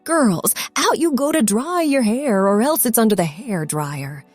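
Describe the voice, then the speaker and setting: Woman playing storybook mom, insistent, coaxing, firm, slightly high pitch, music underneath. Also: animated, unhurried, no echo. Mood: brisk ordering. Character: insistent, teasing mother pressing her child.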